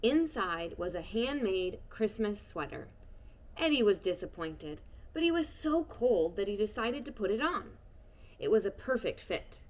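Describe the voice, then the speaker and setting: A woman reading a children's storybook aloud, expressive and shifting between hushed and bright tones. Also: medium pitch, measured pace. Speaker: storybook reader. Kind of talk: children's book read-aloud.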